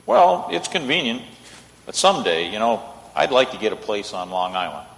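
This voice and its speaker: A man's voice: fairly low pitch, very animated, moderate pace, gravelly, a bit bright, full character voice. A man playing an amiable, wry lawyer in an old-time radio play, easygoing in manner. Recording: reverberant hall.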